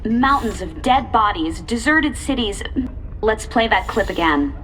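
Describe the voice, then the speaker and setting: A woman's voice: medium pitch, animated, measured pace, very noisy, natural voice. An actress playing a poised, earnest female TV news reporter with a professional broadcast delivery. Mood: earnest, pointed. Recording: music behind the voice.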